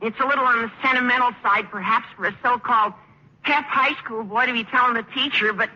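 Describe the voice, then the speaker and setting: Squeaky, bright teenage boy, old radio. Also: quick pace, heavily acted. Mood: gushing, earnest. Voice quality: squeaky, cracking adolescent voice. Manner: earnest, flowery, overblown phrasing. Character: earnest, gushing, tactless teenage high school boy. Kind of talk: 1950s radio sitcom acting. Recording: dull, noisy vintage radio recording.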